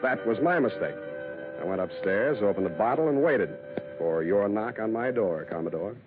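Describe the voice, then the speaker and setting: An actor playing a wry, cynical private detective with a clipped, deadpan delivery in a 1940s radio drama. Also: male voice, fairly low pitch, moderate pace, natural voice. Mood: grim, deadpan. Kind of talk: 1940s radio acting, first-person noir narration. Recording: tinny vintage radio with music behind.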